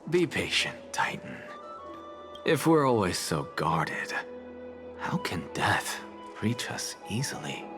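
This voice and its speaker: Game role: cool, calculating scholar. Low-pitched, unruffled, aloof and deliberate, over music. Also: male voice, sing-song, heavily acted. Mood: smug, composed. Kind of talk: scripted video game dialogue.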